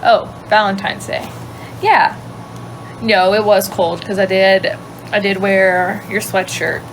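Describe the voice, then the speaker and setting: A young woman from Louisiana with a light Cajun accent, chatting casually on a livestream and answering viewer questions, thinking aloud and trailing off into quiet asides. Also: medium pitch, fairly even intonation, slow pace, a little hiss or hum, low-quality recording. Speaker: livestream host. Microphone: phone.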